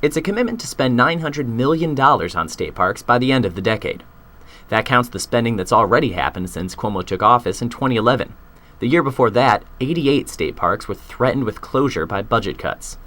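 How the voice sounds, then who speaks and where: A man's voice: medium pitch, lively intonation, fairly quick, a bit bright. A man with an American accent reading a scripted news report as a reporter and anchor.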